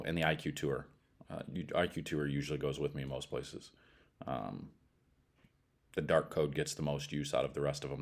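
Very deep-voiced American man chatting. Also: a little mellow. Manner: blunt, colloquial. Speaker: bowling ball reviewer. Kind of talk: casual product review chat.

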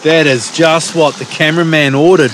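A man's voice: on the high side, fairly even intonation, moderate pace. A man chatting casually while fishing on a rocky sea coast, laughing often and cheering his mates on. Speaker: vlog host. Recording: wind and waves, noisy outdoor camera mic.

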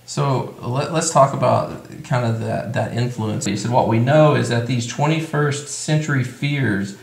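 A man's voice: mid-range voice, a little slow, gravelly, reverberant. A man co-hosting an interview on a studio set, reading a quote aloud from a book. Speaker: co-host.

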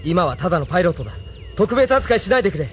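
An actor playing an earnest, tense young pilot leader in an anime radio drama. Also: male voice, high voice, very animated, racing, slight background noise. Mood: resolute.

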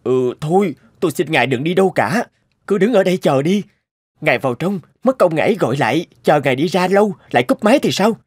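Anxious, high-pitched young male office assistant. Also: expressive, quick pace, husky, dry recording, clean studio sound. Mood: anxious pleading. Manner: deferential, imploring. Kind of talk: cartoon voice acting.

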